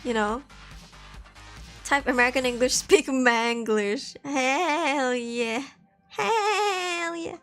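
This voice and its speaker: Young woman, from Malaysia, live streamer, casual chat, slightly high voice, laughs a lot, faint music behind. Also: drawn-out words. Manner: chatty, laughs often, lively jumps in pitch. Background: faint background music.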